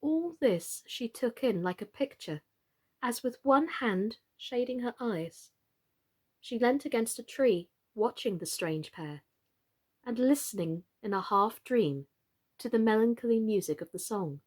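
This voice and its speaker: A woman reading a children's story as expressive scripted narration. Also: a little high, measured pace. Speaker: storybook reader, professional actress.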